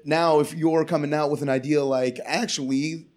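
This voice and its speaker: Man, comedian, casual podcast chat, swearing, sarcastic, a bit high-pitched. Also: fairly even intonation, moderate pace, darkish tone. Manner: profane, ranting, sarcastic. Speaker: comedian guest. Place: Portland area.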